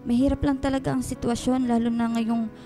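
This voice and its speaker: An actress playing a gentle, coaxing elderly housekeeper with a Filipino accent in a radio drama. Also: female voice, fairly high pitch, flat delivery, fairly quick, soft background music, close to an everyday voice. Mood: gentle pleading. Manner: coaxing, motherly, hesitant. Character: gentle elderly housekeeper, motherly, pleading, flustered peacemaker.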